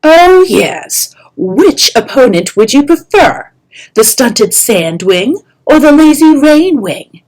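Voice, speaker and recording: A harsh older she-dragon, as a woman narrator puts her on, snarling and bellowing at her pupil in a high, strained, much louder voice. She sounds bullying and scornful, her words drawn out and sharp. The voice is a touch husky. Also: big pitch swings, slow pace, full character voice, low-quality recording. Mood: mocking. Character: fierce older female red dragon teacher, harsh, bullying.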